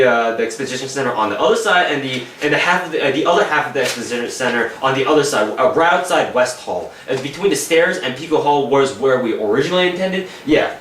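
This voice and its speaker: A man's voice: on the high side, a bit bright, recorded from a distance, slight background noise. A young man casually recounting a convention day, rambling with many fillers and swearing. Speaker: vlog co-host recounting a convention. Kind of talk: casual chat. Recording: roomy, from off mic, faint background.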